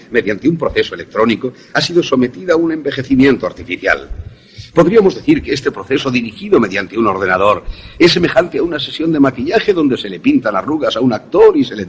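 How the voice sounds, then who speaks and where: A man playing a courteous, eccentric scientist in a suspense radio play, formal and deliberate as he explains his invention. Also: mid-range voice, big pitch swings, slightly rough. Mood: explanatory, assured.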